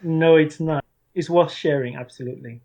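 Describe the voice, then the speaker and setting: A man in his thirties from Cameroon, a startup founder, answering interview questions in a measured, earnest way. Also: a little high, a little mellow. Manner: measured, earnest, some restarts.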